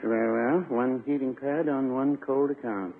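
An actor playing a fussy, literal-minded druggist in a 1950s radio comedy, with a deadpan, droll manner. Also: male voice, medium pitch, moderate pace, a crowd in the background, natural voice. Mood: dryly pleased. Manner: deadpan, literal, hesitant wit. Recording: scratchy, tinny 1950s radio recording.

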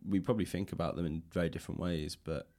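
Male interviewer, low register, casual chat. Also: steady tone, quick pace, dark tone. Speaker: podcast host and interviewer. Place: indoors in a public library room.

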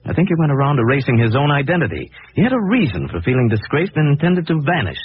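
A man playing an assured detective hero of a 1940s radio play. His mid-pitched voice moves expressively and with authority, on a tinny recording with faint noise. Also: sing-song, lightly acted. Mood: confident explaining. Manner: authoritative, deliberate explanations. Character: calm, assured, principled male crime-fighting hero.

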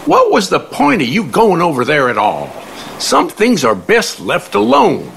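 A man's voice: high-pitched, huge pitch swings, gravelly, a bit bright, slight background noise, exaggerated voice. A man acting a wry, elderly, folksy confidant who speaks in aphorisms in an audio play. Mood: curious. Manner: folksy, slow, quoting aphorisms.

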